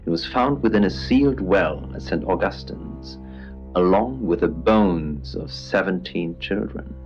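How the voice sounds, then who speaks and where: An actor playing an eccentric, macabre curiosity shop keeper who co-hosts a late-night radio show in a deadpan, hesitant way. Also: male voice, low-pitched, unhurried, lo-fi sound. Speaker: radio co-host. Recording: band-limited radio sound over music.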